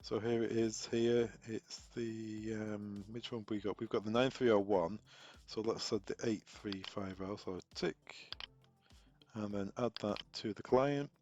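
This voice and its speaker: Low-voiced man giving a software tutorial. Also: steady tone, unhurried, muffled recording. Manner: informal, instructional, talking through each step aloud. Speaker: technical trainer presenting a tutorial. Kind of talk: unscripted step-by-step software walkthrough.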